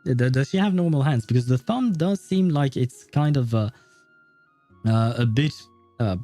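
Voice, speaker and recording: Mellow, rambling male livestreamer, non-native English. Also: medium pitch, expressive, moderate pace, no echo. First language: not English. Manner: rambling, hesitant, polite, chuckling at himself. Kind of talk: casual livestream chat with viewers over gameplay. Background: faint game music.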